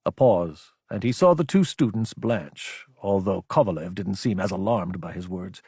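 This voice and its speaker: A man's voice: sits low, expressive, normal speed, warm tone, husky, no echo, clean studio sound. A man reading an audiobook as dramatic scripted narration.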